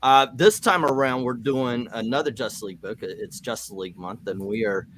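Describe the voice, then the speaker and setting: A man's voice: medium pitch, moderate pace, fairly bright tone. American man hosting over noisy audio. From United States. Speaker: host of a comic book read-along show. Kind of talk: casual rambling livestream chat.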